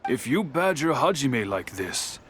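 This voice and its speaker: An actor playing an older master craftsman who is stern but kindly, with a formal, measured way of talking in a video game. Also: medium pitch, sing-song, a bit bright, a little hiss or hum. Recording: game audio with music behind.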